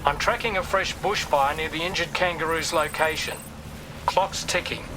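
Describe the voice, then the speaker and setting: Man playing an urgent dispatcher, high voice. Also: steady tone, moderate pace, natural voice, rough recording. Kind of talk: urgent game briefing. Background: music.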